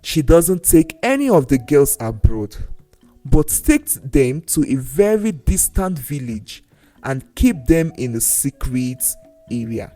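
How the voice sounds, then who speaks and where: A storyteller narrating a scripted folktale in a deliberate, dramatic way, with pauses between phrases. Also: medium pitch, sing-song, mellow, poor audio. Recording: voice over faint music. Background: faint background music.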